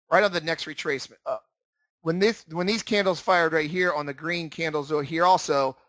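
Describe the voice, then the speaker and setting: Higher-voiced man teaching, dry close sound. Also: fairly bright tone, dry recording. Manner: emphatic, repetitive explaining. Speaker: trading instructor. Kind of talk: instructional screen-share narration.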